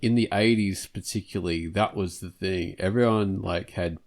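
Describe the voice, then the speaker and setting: A man's voice: low voice, moderate pace, darkish tone. A man hosting a podcast in a casual, jokey chat, laughing often. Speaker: podcast host.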